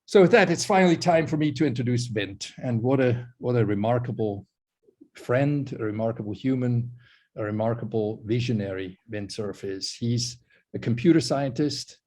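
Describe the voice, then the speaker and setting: A man's voice: medium pitch, normal speed, darkish tone. A man from Switzerland hosting an online forum on a video call. He speaks earnestly, with many restarts and "you know"s. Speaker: forum host. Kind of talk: live online forum introduction.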